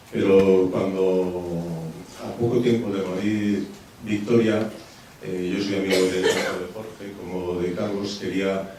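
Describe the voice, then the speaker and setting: Deep-voiced moderator in a very echoey hall. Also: male voice, a little mellow, far from the mic, slight background noise. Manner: hesitant, many fillers and restarts. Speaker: debate moderator. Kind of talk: post-screening Q&A. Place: cinema hall.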